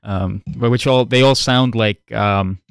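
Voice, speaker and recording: A man whose first language is Dutch muses playfully in casual conversation. His voice is smooth and resonant, recorded dry and close. He laughs easily between his thoughts. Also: fairly low pitch, a little slow, dry recording. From Netherlands. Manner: playful, musing, laughs often. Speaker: podcast guest. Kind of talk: casual roundtable chat.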